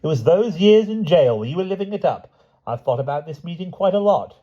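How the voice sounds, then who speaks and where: Vengeful mocking villain, voiced by a man: raised, sing-song taunting over a dark, lo-fi tone. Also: a little high, expressive, a little fast, voice pushed up. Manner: taunting, sarcastic. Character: menacing one-handed man, mocking and vengeful.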